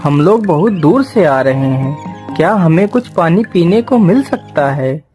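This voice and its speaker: A woman voicing an earnest, caring young prince in an animated Hindi moral story, speaking deliberately. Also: lowish voice, faint music behind. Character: young prince, earnest devoted husband to Rupmati.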